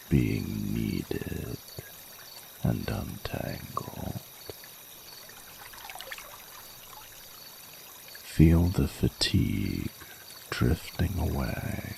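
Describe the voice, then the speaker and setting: A man's voice: extremely low pitch, gravelly, background noise, full character voice. A man voicing a flirty, courtly male dragon in a romantic audio roleplay, speaking formally and soothingly in intimate ASMR style. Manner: formal, flowery, unhurried, soothing speech. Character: ancient-sounding flirty male dragon, tender, courtly lover.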